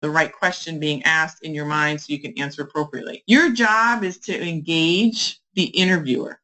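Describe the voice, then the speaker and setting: A woman's voice: low-pitched, fairly even intonation, normal speed, pushed and bright, rough recording. A woman career coach delivering an instructional advice monologue, emphatic and repeating key phrases for weight. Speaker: career coach podcast host.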